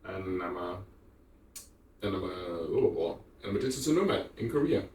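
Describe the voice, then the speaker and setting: A man's voice: low voice, expressive, normal speed, a little mellow, recorded from a distance, a little hiss or hum. A man from Denmark, an IT developer, speaking frankly and reflectively in a documentary interview.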